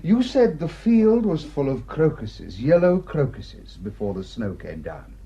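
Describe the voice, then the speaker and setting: An actor playing a courteous, shrewd village rector in a radio drama, speaking in a polite, measured, probing way. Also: male voice, a little high, sing-song, dark tone, slightly rough, highs cut off, full character voice. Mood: gently accusing.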